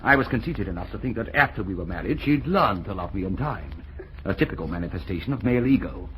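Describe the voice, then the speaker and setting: A man's voice: lowish voice, animated, fast talker, fairly bright tone, rough recording. A man playing a gallant, wry, resigned older fiancé in an old-time radio comedy, speaking theatrically. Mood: gallant, rueful. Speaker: radio play actor. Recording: old radio recording, faint noise.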